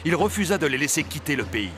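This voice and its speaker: A man's voice: on the high side, sing-song, quick pace, music in the background. A man narrating a scripted Bible story as its storyteller.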